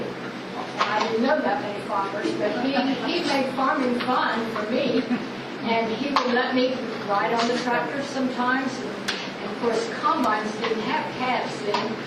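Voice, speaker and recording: Older female relative sharing memories in a repetitive, informal way, heard from far off in heavy echo. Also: fairly high pitch. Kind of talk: informal reminiscence. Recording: very echoey, noisy, distant microphone.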